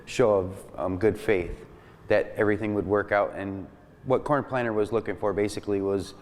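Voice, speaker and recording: A man's voice: fairly low pitch, fairly even intonation, moderate pace. A man explaining history as a cultural specialist in an interview. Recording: reverberant hall with faint noise.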